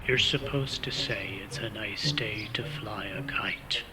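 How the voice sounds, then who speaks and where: A man's voice: lowish voice, measured pace, a loud crowd, lightly acted. A man playing a spy in a comedic radio play, on a noisy recording. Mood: stern, exasperated. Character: comic pair of male spies, one stern, one dim.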